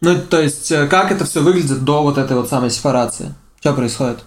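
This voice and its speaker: A man's voice: a little high, quick pace. A man in his late twenties co-hosting a podcast in loose, informal conversation. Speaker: podcast co-host, cybersecurity specialist. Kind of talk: casual group podcast chat.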